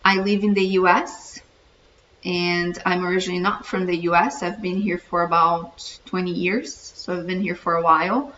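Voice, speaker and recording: Bright-voiced Brazilian woman vlogger. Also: fairly low pitch, flat delivery, moderate pace. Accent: Brazilian Portuguese. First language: Portuguese. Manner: earnest, encouraging, talks straight to viewer. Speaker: vlogger, channel host. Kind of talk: casual self-introduction to camera. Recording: distant mic, dull muffled sound, faint room hiss.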